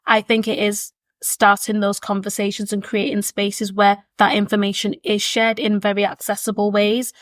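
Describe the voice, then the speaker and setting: Podcast guest: late-20s woman, mid-pitched, earnest and reflective, close dry recording. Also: monotone, normal speed, few pauses, dry recording. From born in Zimbabwe, raised in Leeds, England. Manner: earnest, reflective, repeats words while forming thoughts. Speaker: podcast guest, education consultant. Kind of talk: thoughtful conversational interview answers. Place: seated at a table with the hosts.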